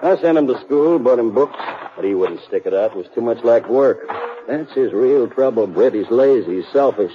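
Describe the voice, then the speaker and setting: A man's voice: medium pitch, expressive, moderate pace, slight background noise, lightly acted. An American actor playing a weary, responsible cattleman and older brother in a 1950s radio western, with plain, rustic speech. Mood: bitter, troubled. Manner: rustic, folksy grammar. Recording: muffled 1950s radio broadcast, faint music.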